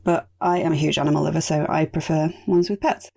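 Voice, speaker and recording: A woman hosting a casual solo podcast, chatty and rambling, her voice going raspy. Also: deep voice, steady tone, a little fast, dark tone. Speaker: podcast host.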